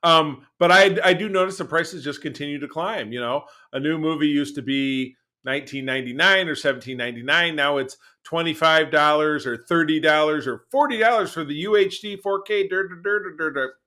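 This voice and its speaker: A man's voice: fairly high pitch, a little fast, hardly any pauses, bright, forceful tone. A middle-aged man co-hosting a podcast, chatting casually in a rambling, emphatic way. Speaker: podcast co-host. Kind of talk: casual conversational podcast chat.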